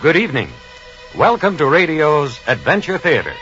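A man's voice: fairly high pitch, big pitch swings, moderate pace. A man hosting a radio theater show with a theatrical, scripted introduction. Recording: dull radio recording, music behind.

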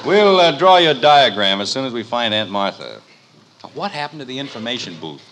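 A man's voice: medium pitch, big pitch swings, fairly quick, noisy background, natural voice. A man playing an exasperated, lecturing father in an old-time radio sitcom, with a dry, put-upon manner. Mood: dryly weary.

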